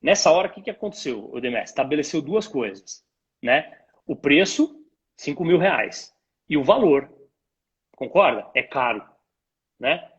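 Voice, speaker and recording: A man with a Brazilian accent and a husky voice, explaining things conversationally as an expert guest, in a didactic way with rhetorical questions, on dull lo-fi call audio. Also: fairly high pitch, sing-song, moderate pace, pauses often. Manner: didactic, illustrates points with imagined dialogues and rhetorical questions. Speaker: guest retail pricing expert. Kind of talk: conversational explanation to a live stream host.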